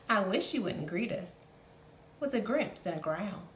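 A woman's voice: medium pitch, sing-song, slow pace. Woman voicing a friendly, pleading jellyfish. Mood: coaxing. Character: gently floating male jellyfish, friendly and pleading. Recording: roomy echo, faint background hum.

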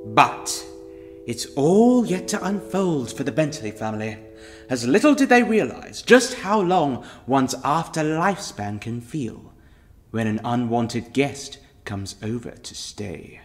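Man acting a lively, droll storybook narrator. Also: medium pitch, faint music behind. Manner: droll, theatrical pauses. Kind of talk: scripted dramatic narration.

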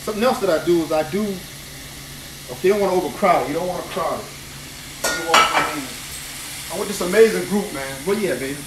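A man's voice: a little high, fairly quick, long pauses. A man, a chef chatting exuberantly while he cooks live in his home kitchen, repeating phrases as he goes. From New Orleans. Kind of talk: live cooking stream chat. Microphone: phone camera moved around the kitchen. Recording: echoey kitchen, phone mic at a distance, noisy frying. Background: frying and sizzling food.

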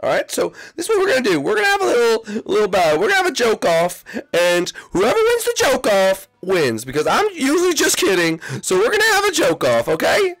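A shrill, unhinged young Koopa prince, swinging up and down wildly in a high, piercing voice. He shrieks his tantrums, then whines and sobs like a spoiled boy, all in a hobby cartoon skit. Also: huge pitch swings, brisk, vocal fry, a bit bright, soft background music, exaggerated voice. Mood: eager. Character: manic unstable young male Koopa prince.

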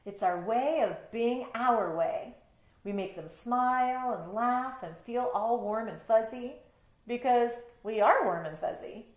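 A woman's voice: on the high side, a little slow, lightly acted. A woman voicing a smug, playful storybook cat, self-satisfied and instructive about its carefree ways. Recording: roomy bedroom sound.

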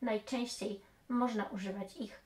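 A woman's voice: fairly high pitch, steady tone, measured pace. A woman in her thirties, an English teacher, patiently explaining grammar to camera and dropping in English example phrases, recorded in a small room. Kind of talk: explanatory language lesson to camera. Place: small room indoors with bookshelves.

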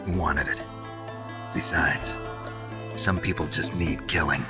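Game role: stoic, gruff male soldier, deep and deadpan, gravel in the voice. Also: slow, music in the background. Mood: cold, stoic. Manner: terse, deadpan. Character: gruff, stoic captured soldier, world-weary man. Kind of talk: dramatic video game acting over radio calls.

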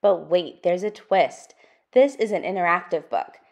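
A woman reads aloud for young children as a storytime host. Her voice sits a little low, and her pitch moves widely through each phrase. The delivery is expressive and sing-song, with deliberate phrasing. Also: highs cut off. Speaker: storytime host reading a picture book aloud. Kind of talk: interactive children's read-aloud narration.

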